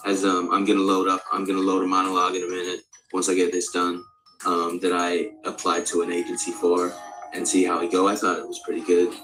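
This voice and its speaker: A male speaker of around forty from Australia, practising an American accent in a rambling, halting, candid and sweary casual monologue. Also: sits low, monotone, a bit bright, soft background music, rough recording. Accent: American, put on over native Australian. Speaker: actor introducing themself.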